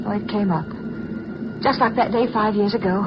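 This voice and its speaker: An actress playing a frightened, brooding fiancée in an old-time radio drama. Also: female voice, medium pitch, moderate pace, close to an everyday voice. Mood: frightened, ominous. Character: frightened, brooding fiancée haunted by a past storm. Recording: dull, very noisy old radio recording.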